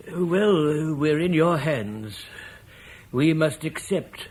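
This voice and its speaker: A man's voice: on the high side, a little mellow, slightly rough, heavily acted. A man playing a cautious, wealthy Roman merchant in a radio drama, hesitant and stammering.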